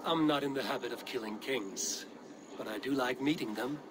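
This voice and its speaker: An actor playing a confident, blunt Viking clan warrior with a dry, challenging manner in a game cutscene. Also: male voice, mid-range voice, normal speed, noisy background, close to an everyday voice. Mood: steady, frank. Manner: dry, challenging, self-assured. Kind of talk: video game cutscene acting.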